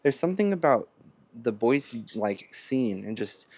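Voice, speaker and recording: A man in his late twenties hosting a relaxed interview, swearing freely and leaning on "y'all" and "legitimately.". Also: medium pitch, unhurried, no echo. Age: late 20s. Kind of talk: casual chat. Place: Boise, Idaho.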